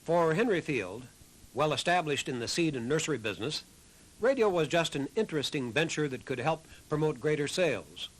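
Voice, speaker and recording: Male narrator for history documentary, husky tone, scripted read, a bit higher than average. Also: expressive, brisk, rough recording.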